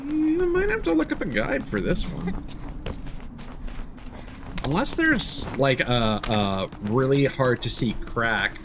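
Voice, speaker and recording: A man's voice: medium pitch, sing-song, takes it slowly, long pauses, noisy background. A man doing casual live game commentary, thinking aloud and muttering to himself with the odd mild swear. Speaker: video game live streamer.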